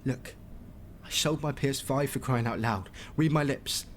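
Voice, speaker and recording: An actor playing an evasive brother who pleads and justifies himself in a wheedling way in a radio soap. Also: male voice, medium pitch, normal speed, slight background noise, natural voice. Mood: defensive, pleading. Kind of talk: naturalistic radio soap acting.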